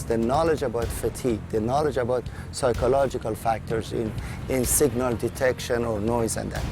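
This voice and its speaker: A man with a medium-pitched voice speaks as an expert in an interview. He lists points emphatically, repeating his phrasing, while music plays clearly behind him. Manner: emphatic, repetitive listing. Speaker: aviation safety academic.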